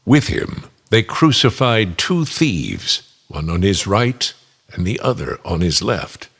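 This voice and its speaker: A man's voice: on the low side, sing-song, slow, creaky, a bit bright, husky, heavily acted. A man giving a solemn dramatic narration of a scripture story.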